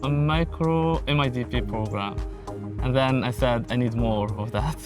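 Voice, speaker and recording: A man's voice: mid-range voice, normal speed. A man from Morocco, a graduate student telling his story in a testimonial. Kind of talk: testimonial interview. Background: background music.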